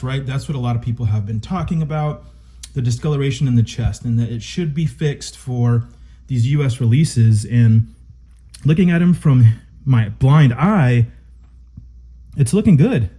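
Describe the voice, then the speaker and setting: A man with a dark, mellow voice reviews a toy for his viewers, casual and chatty. He sounds a little stuffy, getting over a cold. His delivery is eager and informal. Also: medium pitch. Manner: excited, chatty, addresses viewers as guys. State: stuffy, recovering from illness.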